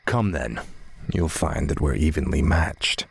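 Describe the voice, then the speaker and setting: A man voicing a cold, cunning human dark knight in a game cinematic, taunting and persuasive in a measured way. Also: a bass voice, lively intonation, creaky, heavy background noise, full character voice. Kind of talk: video game cinematic acting.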